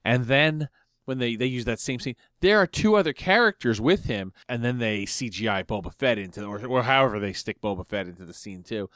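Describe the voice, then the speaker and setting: A man's voice: mid-range voice, lively intonation, fairly quick, fairly bright tone, no echo, studio quality. A man co-hosting a casual fan podcast, tentatively wondering aloud as he comments. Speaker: podcast co-host.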